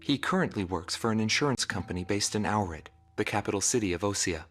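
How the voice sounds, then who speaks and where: An actor playing a sober investigative reporter in a video game, giving measured, matter-of-fact documentary narration. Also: male voice, fairly low pitch, animated. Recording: loud guitar music behind.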